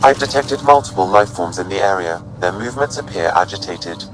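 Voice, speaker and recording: A man playing a calm, precise artificial intelligence in a video game, formal and clinical in delivery. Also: deep voice, fairly quick. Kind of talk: video game dialogue acting.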